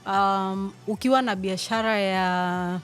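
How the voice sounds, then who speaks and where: Kenyan woman explaining software, relaxed. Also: mid-range voice, takes it slowly, faint music behind. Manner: relaxed, explanatory, laughs easily, many fillers. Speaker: interview guest, sales team leader. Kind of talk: casual interview, switching to Swahili to explain.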